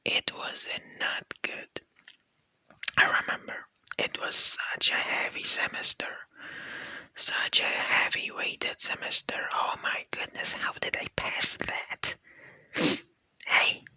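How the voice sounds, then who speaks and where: Young non-native English speaker, slow soft whisper, crisp and bright, dry close mic, rambling diary tone. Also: no echo, rough recording. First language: not English; Persian is a second language. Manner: soft unvoiced whisper, rambling and laid-back with many fillers. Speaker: university student, vlogger. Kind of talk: whispered ASMR diary vlog.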